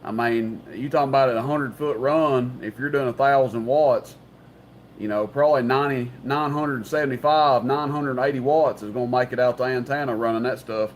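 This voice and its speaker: A man's voice: mid-range voice, moderate pace. A man explaining technical things casually and carefully on a CB radio chat. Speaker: CB radio operator. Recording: CB radio chat with faint noise.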